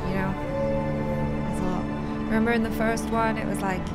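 A woman's voice: fairly high pitch, flat delivery, brisk. A woman in her thirties giving casual reaction commentary on a close podcast mic. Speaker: reaction show host. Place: small home studio room. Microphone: close podcast microphone. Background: loud game cutscene music and effects.

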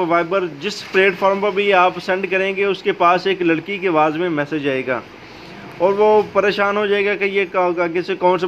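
Male tutorial host, notably high voice, explaining step by step over slightly noisy audio. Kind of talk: how-to video narration. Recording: dull lo-fi audio, steady background noise.

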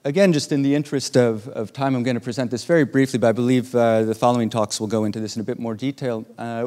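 A man delivers an academic keynote from the stage of a large lecture hall, walking the audience through his slides. He speaks at a medium pitch and pauses often with "uh" as he explains. His voice carries a long, echoing reverb from the hall. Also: a little mellow. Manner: measured, with frequent "uh" pauses while explaining slides. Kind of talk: academic conference talk.

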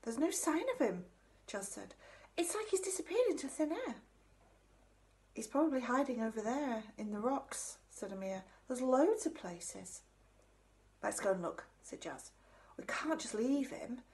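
A woman's voice: sits high, very animated, unhurried, a little mellow. A woman in her thirties reading a children's story aloud. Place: indoor room at home.

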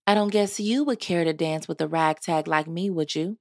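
A woman voicing a gentle young servant girl in a storybook, polite and wistful, with an earnest, hesitant manner. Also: low-pitched, moderate pace, dry recording, studio quality. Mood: sad.

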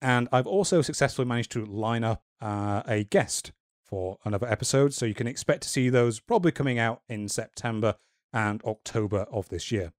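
A man hosting a casual podcast, talking in a measured way with wry, dry humor. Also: mid-range voice, no room sound. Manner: measured, wry and dryly joking. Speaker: podcast host.

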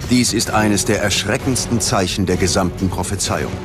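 A man narrating a scripted documentary in a dramatic, ominous and measured way. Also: on the low side. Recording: music under the voice. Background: prominent background music.

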